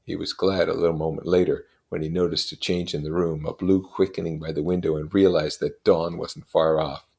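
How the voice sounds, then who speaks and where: A man reading literary prose aloud as an expressive narrator. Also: low voice, brisk, vocal fry, few pauses. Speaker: reader.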